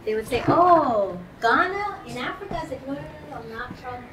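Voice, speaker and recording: A woman in her forties from Guyana, telling her story in an interview in a lively, animated way. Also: high-pitched, brisk, crowd noise. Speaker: interview guest. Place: indoors against a pale wall. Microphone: lapel mic.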